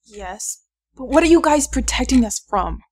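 A woman's voice: high-pitched, lively intonation, normal speed, darkish tone. A woman playing a sceptical, probing young hacker in an audio drama, questioning and insistent. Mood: uneasy.

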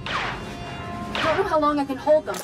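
A woman's voice: high-pitched, wildly swooping pitch, takes it slowly, exaggerated voice. A woman playing a feisty young fighter in a video game, urgent and informal, through a transmission filter. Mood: urgent, strained. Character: feisty young female fighter calling for help. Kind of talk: video game cutscene acting. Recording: filtered call under loud music.

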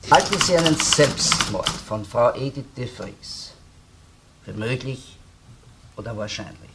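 An actor playing a courteous, dryly persistent police investigator in a German radio crime drama, questioning with little hums and tag words. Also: male voice, mid-range voice, expressive, unhurried. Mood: probing, insinuating. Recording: noisy old radio play recording.

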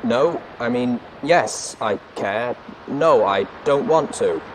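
A man performs a shy watcher speaking through a robot. The voice is tinny, artificial and halting, a timid storybook character. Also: mid-range voice, lively intonation, a little hiss or hum, pitched above the performer's own voice. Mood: hesitant.

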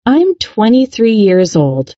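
A woman narrating a scripted English lesson in a clear, upbeat, instructional way. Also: on the high side, very animated, slow pace, warm tone, dry recording, muffled recording.

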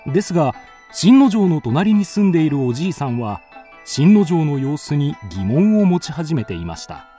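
A man's voice: a little high, dark tone. A man narrating a scripted ghost tale as the storyteller of a radio drama.

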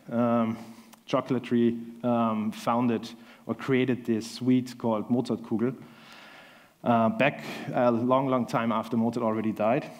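A man's voice: medium pitch, a little mellow. A man in his thirties from Austria with an Austrian German accent, giving a chatty, self-deprecating conference talk on a large stage, with many ums. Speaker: conference speaker.

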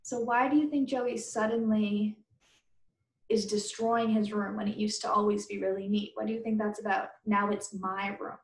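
A woman's voice: medium pitch, fairly even intonation, moderate pace. A woman teacher reading a children's book aloud, stopping to question and explain things to her pupils. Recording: roomy indoor echo.

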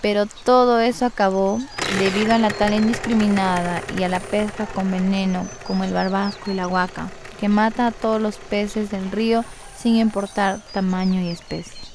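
A woman's voice: medium pitch, slight background noise. Woman narrating over nature sounds. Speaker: radio narrator. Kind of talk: first-person scripted narration of a documentary radio story.

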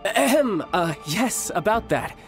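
A man's voice: high-pitched, sing-song, unhurried, over loud music. Game role: young male fighter, cocky yet courteous, affectionate, very varied intonation. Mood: polite, smooth. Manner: smooth, playful, politely formal. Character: cheerful, cocky young male warrior, doting big brother. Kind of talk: video game voice acting.

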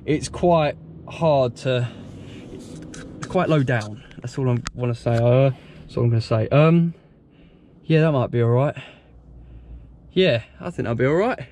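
A man's voice: mid-range voice, a little slow, pauses often, a little mellow, a little hiss or hum. A young man with a British accent, chatting casually and ramblingly to viewers as a car vlogger, recorded inside a car on a head-mounted camera. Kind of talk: casual chat to viewers while driving. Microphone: head-mounted action camera.

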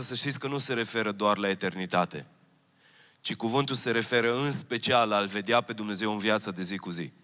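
Bright-voiced man preaching in a hall. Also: mid-range voice, smooth. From Romania. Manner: earnest preaching, repeats key phrases, gestures while speaking. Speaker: pastor. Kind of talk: sermon. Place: church stage, large hall. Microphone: handheld mic. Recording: long hall reverb.

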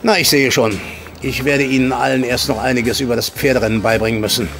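Blustery colonel over clear music acted by a man. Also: medium pitch, steady tone, fast, fairly bright tone, natural voice. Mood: blunt, indignant. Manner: blunt, self-assured. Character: blustery male colonel, horse-racing devotee. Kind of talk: German audio drama acting.

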